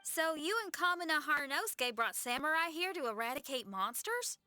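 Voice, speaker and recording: Bright, high-voiced folksy adventurer. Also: female voice, lively intonation, fairly quick, no echo, full character voice. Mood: curious. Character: plain-spoken, loyal young woman adventurer, earnest and folksy. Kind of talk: anime game voice acting. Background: faint music.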